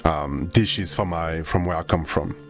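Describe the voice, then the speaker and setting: Deep, slow-talking young man streaming. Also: soft background music. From Senegal, raised in France. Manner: laid-back, slangy, swears freely, drawls some words. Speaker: VTuber streamer. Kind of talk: casual live-stream chat to viewers.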